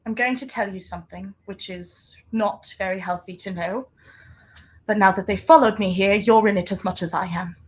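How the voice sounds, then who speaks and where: A woman playing a mysterious, hunted secret agent in a 1930s radio play, intense and secretive, with deliberate theatrical delivery. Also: mid-range voice, husky, natural voice, poor audio. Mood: tense, grave.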